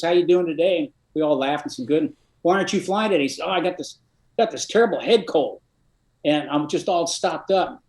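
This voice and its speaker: Older male guest, retired officer, high-ish voice, dry deadpan anecdotes, echoey room. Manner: dry, matter-of-fact storyteller with frequent uh fillers. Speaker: retired army colonel, guest. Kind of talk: casual interview storytelling.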